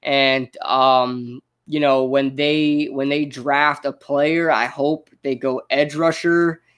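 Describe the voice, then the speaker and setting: A man's voice: medium pitch, flat delivery, a bit bright, clear, resonant tone, voice pushed down. A young man mimicking a dull, hesitant male video maker who stalls on every um. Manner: halting, slow, full of ums.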